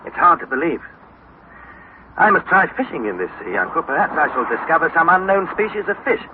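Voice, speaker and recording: Eager young explorer, lively tinny old radio. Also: male voice, on the high side, a little fast. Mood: curious, amazed. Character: eager, questioning young nephew explorer, earnest and wondering. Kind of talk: 1960s radio drama acting with scripted narration. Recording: tinny, hissy vintage radio broadcast.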